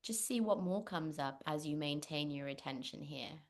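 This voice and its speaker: A woman leading a guided meditation, speaking gently and unhurriedly with long pauses. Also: low-pitched. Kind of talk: guided meditation, spoken instruction.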